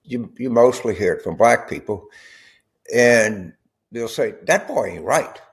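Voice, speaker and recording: A man from South Louisiana giving informal commentary in a halting, deliberate manner. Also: mid-range voice, expressive. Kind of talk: slow informal political commentary.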